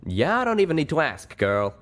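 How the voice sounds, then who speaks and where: A man's voice: fairly high pitch, huge pitch swings, a little fast, a bit bright, voice pushed up. A man voicing a young, plain-spoken cowboy pony with a folksy drawl for a storybook reading. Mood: uneasy. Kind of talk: audiobook character voice.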